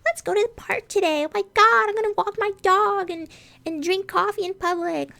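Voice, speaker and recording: A woman putting on a shrill, bubbly young woman's anime-style voice to mock it. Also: moderate pace, gravelly, sharp tone, full character voice. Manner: mocking, squeaky sing-song.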